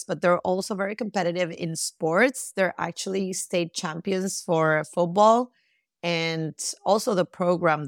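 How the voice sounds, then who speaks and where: A woman's voice: fairly low pitch, no room sound, clean studio sound. A middle-aged woman from Costa Rica answering as a guest in a conversational interview.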